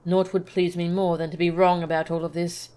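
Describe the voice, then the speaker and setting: A woman voicing an earnest, cautious scholar from a video game with formal, old-fashioned, measured speech. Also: lowish voice, flat delivery, warm tone, lightly acted. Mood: suspicious, musing. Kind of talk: reading video game dialogue aloud.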